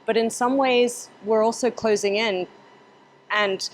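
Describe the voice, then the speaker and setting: A woman's voice: on the high side, steady tone, measured pace, slight background noise. Articulate woman from Hong Kong. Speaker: roundtable panelist. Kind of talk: casual discussion.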